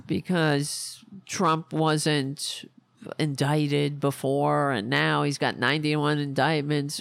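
A woman hosting a political podcast, hoarse from losing her voice, ranting in a rambling way and losing her train of thought. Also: low-pitched, no echo. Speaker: podcast host. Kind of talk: casual political rant.

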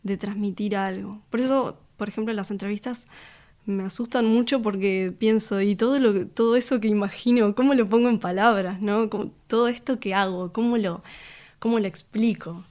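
A woman answers interview questions about her music. She speaks thoughtfully and hesitantly, often pausing on fillers and restarting her sentences, and laughs easily between thoughts. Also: mid-range voice, fairly even intonation, darkish tone. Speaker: musician and record producer. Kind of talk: casual interview conversation.

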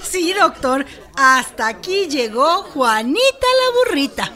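High, animated Mexican village woman. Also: slow pace, exaggerated voice. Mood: playful. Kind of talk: radio soap acting.

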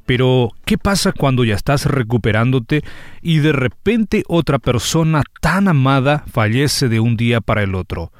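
A man's voice: medium pitch, lively intonation, moderate pace, no echo, clean studio sound. A man hosting a radio programme, presenting and interviewing guests. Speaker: host.

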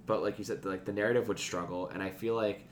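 A man's voice: on the low side, fairly even intonation, fast talker, a little hiss or hum. A man co-hosting a podcast, discussing things in a casual, analytical way. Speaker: podcast co-host.

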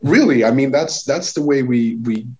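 A man's voice: mid-range voice, moderate pace, dark tone. A Swedish man in his forties with a Swedish accent, a guest musician talking casually, rambling and restarting his sentences. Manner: rambling, many restarts and repeated words. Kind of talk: casual conversation. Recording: lo-fi dull sound.

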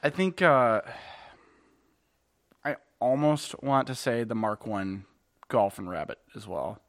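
A man's voice: mid-range voice, slow, fairly bright tone. A man co-hosting a casual car podcast, rambling a little and laughing often as he teases his co-host.

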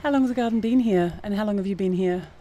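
A woman's voice: mid-range voice, fast talker, dark tone, a little hiss or hum. A woman interviewer asking informal, open questions out in a school garden.

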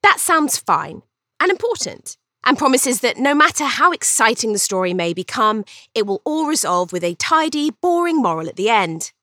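A woman's voice: mid-range voice, sharp tone, dry recording, clean studio sound. A woman voicing a cheeky, vain young Regency Englishwoman telling her own story in an arch, chatty, theatrical way for an audiobook. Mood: arch, playful. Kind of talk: first-person audiobook storytelling.

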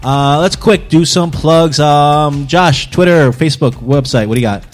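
A man's voice: a little high, normal speed, faint music behind. A man hosting a casual comedy podcast, teasing guests and moving the talk along. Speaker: podcast host.

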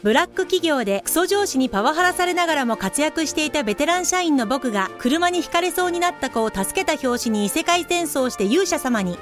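A woman playing the composed narrator of a comedy radio drama, delivering scripted narration. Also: sits high.

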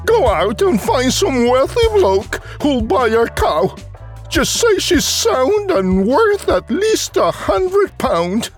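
A man as a shrill, scolding storybook mother. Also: big pitch swings, takes it slowly, soft background music, full character voice. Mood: scornful, outraged. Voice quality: shrill, high. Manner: exclaiming, scornful. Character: shrill, scolding, hot-tempered mother of a foolish boy.

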